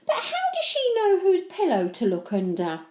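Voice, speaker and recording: A woman's voice: very high-pitched, huge pitch swings, moderate pace, a little mellow, roomy sound, voice pushed up, full character voice. A woman voicing a cheeky, scheming young boy in an exclamatory storybook manner. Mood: questioning. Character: scheming, indignant young boy, horrid older brother.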